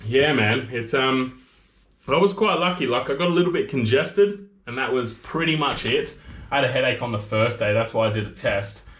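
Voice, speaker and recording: A man's voice: mid-range voice, moderate pace, fairly bright tone. Chatty Australian man in an echoey room. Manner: chatty, rambling, reads out viewer comments. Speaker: maker hosting a livestream. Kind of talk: casual livestream chat. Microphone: camera audio.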